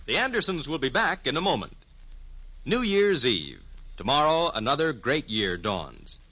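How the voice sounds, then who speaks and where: A man with an American accent reading scripted 1950s network radio announcements in a measured, emphatic way. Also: a little high, big pitch swings, pushed and bright, a little hiss or hum. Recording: muffled vintage radio recording.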